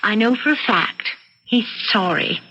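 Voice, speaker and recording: Scheming housewife, dull vintage radio sound. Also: female voice, mid-range voice, a little slow, a little hiss or hum. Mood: coaxing. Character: scheming, bored wife narrating her murderous plans. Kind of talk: old-time radio suspense drama acting. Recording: band-limited radio drama with loud music.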